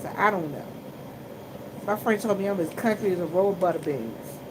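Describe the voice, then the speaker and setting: Low-voiced woman chatting over a loud hum. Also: a little fast. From Washington, D.C. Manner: animated, colloquial, acts out other people's remarks. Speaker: vlogger and gas station worker. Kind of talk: casual chatty storytelling to viewers. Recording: noisy room with loud hum. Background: loud humming background noise.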